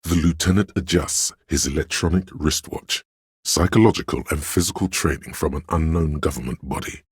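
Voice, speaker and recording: A man playing a solemn, all-knowing inner-voice narrator in a video game, with a gravelly, husky voice and a measured, literary delivery. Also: extremely low pitch, dry recording, clean studio sound, full character voice. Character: omniscient, solemn inner-voice narrator of police camaraderie. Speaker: voice actor narrating a character's inner voice.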